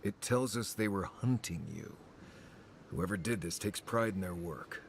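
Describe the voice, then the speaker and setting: An actor voicing a serious companion character in a fantasy game, speaking steadily and matter-of-factly. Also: male voice, low voice, measured pace, a little hiss or hum. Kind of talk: game dialogue.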